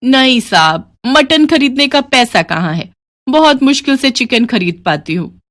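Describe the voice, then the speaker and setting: A woman's voice: high voice, animated, measured pace, fairly bright tone, no echo, clean studio sound, pitched above the performer's own voice. A woman voicing a weary poor widowed mother in a moral story, plaintive and beseeching. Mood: weary, apologetic. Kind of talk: animated Hindi moral story acting.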